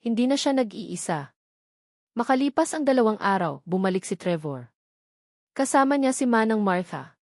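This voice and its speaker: A woman's voice: mid-range voice, darkish tone, no echo. Female narrator for dramatic fiction; mid-range voice, dry studio sound. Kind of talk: scripted dramatic story narration.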